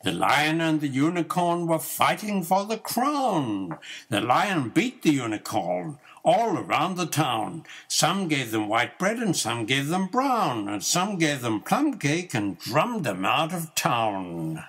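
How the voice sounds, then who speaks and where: An older man reciting nursery rhymes as a grandfather would, in a rhythmic, measured singsong with drawn-out line endings. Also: on the high side, big pitch swings, fairly bright tone, slightly rough.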